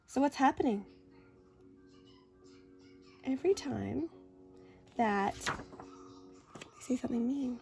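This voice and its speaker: A woman reading a children's picture book aloud in an expressive, deliberate, dramatic way. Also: sits high, vocal fry, over music. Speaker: story reader.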